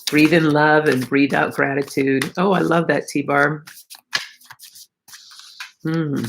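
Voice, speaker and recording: A middle-aged woman hosts an informal spiritual card reading online. Her voice is gravelly and a little froggy, with a lot of fry and a tired edge. She talks to her viewers in a reflective, encouraging way. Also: low-pitched, fairly even intonation, slow pace, noisy background. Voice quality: slightly froggy, croaky. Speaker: host of a live oracle card reading. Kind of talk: casual spiritual livestream chat with viewers.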